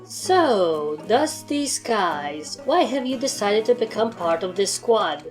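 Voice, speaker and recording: A woman's voice: mid-range voice, sing-song, takes it slowly. A woman voicing a stern, easily annoyed mare who commands a squad of ponies, speaking in a commanding, matter-of-fact way over music in a story reading. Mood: businesslike questioning.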